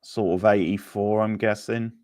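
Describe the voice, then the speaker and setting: A man's voice: on the low side, steady tone, moderate pace, darkish tone. A man hosting a quiz in a casual, laid-back, chatty manner. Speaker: quiz host. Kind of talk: casual live quiz chat.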